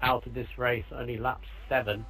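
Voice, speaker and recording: A man with a mid-pitched voice commentates live on a racing game. His delivery is casual and conversational, following the cars as they go. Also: flat delivery, fairly quick, rough recording.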